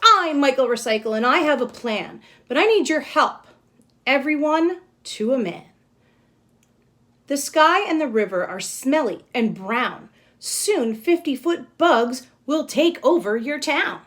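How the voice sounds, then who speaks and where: A woman's voice: high voice, lively intonation, a little slow, a bit bright, voice pushed up. A woman voicing a heroic male caped recycling crusader from a storybook, rallying townsfolk in emphatic, declamatory rhyme. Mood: urgent, rallying. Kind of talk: storybook read-aloud.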